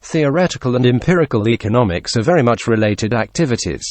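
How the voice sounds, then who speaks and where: A man with a mid-range voice reads prepared passages aloud. His delivery is formal and measured, like a model answer for a reading test. The sound is dry, with no room echo. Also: mid-range voice, animated. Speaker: test-practice model reader. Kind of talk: scripted read-aloud of exam passages.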